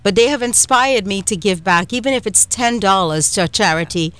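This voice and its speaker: A woman's voice: mid-range voice, brisk, fairly bright tone, clear, resonant tone, dry recording. Chatty Trinidadian woman hosting radio. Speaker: radio show host.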